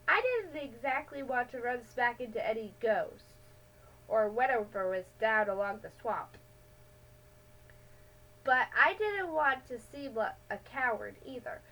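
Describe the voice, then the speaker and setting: A woman with a high-pitched voice reads a children's adventure story aloud. Her delivery is slow and halting, with small stumbles and self-corrections as she narrates. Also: big pitch swings, pushed and bright, rough recording. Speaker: amateur story reader.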